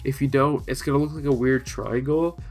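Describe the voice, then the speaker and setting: A man with a stuffy, congested nose from allergies, casually walking through a building tutorial over gameplay, with relaxed, chatty instructions. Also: mid-range voice, a little fast, soft background music. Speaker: gaming video creator.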